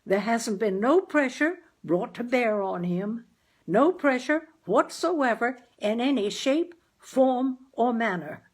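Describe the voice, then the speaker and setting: A woman's voice: a little high, animated, breathy edge, pitched above the performer's own voice, exaggerated voice. Woman voicing a plainspoken, emphatic working-class father. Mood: careful, measured. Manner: folksy ungrammatical speech, deliberate and emphatic. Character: gloomy plainspoken working-class father, stiff and loud on telephone. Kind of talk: dramatized audiobook character.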